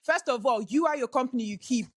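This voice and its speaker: A woman's voice: high voice, quick pace, dry recording, studio quality. A woman co-hosting a playful podcast debate, arguing the prosecution side in a pushy, teasing way and laughing often. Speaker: co-host arguing the prosecution in a mock trial.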